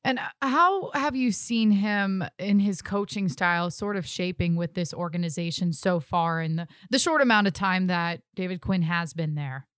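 A woman's voice: lowish voice, normal speed, hardly any pauses, a bit bright, smooth, no room sound, studio quality. A woman hosting a sports podcast, presenting team news and putting questions to a guest. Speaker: sports podcast host and interviewer. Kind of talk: scripted sports segment and interview.

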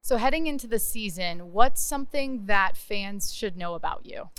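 A woman's voice: medium pitch, animated, normal speed, a bit bright, clear, resonant tone, no room sound, poor audio. A woman hosting a sports podcast, putting questions to a guest and presenting team news.

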